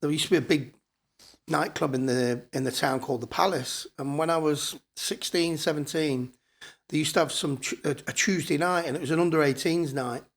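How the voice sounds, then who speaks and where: A man's voice: fairly high pitch, normal speed. A man in his fifties with a northern English accent, reminiscing candidly and casually as a podcast guest, in colloquial storytelling style. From born in Scotland, grew up in Blackpool, northern England. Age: 50s.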